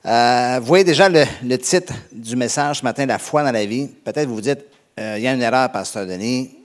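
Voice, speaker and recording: A male pastor from Canada with a Québécois accent, preaching a sermon in a conversational, halting way with frequent fillers and restarts. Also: medium pitch. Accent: Québécois French.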